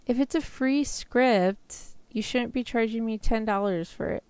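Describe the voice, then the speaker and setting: A woman chatting casually as a livestreamer, with a creaky voice and a loose, filler-heavy way of talking, close on the microphone. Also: fairly high pitch, a little slow, a little mellow, dry recording. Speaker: VTuber streamer practicing ASMR roleplay. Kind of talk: casual livestream chat, roleplay script practice.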